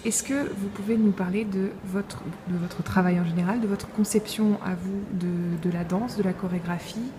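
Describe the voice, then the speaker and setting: A woman's voice: medium pitch, fairly even intonation, normal speed, few pauses. A woman interviewer putting open questions to a guest in an informal way. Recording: clearly noisy background.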